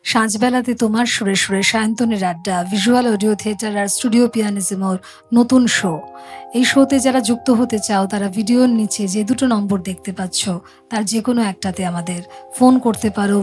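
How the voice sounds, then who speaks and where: A woman's voice: mid-range voice, moderate pace. Woman hosting a music chat show.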